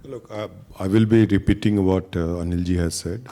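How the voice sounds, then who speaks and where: A man answering on a panel as a government official, in halting, plain phrases. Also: sits low, fairly even intonation, warm tone.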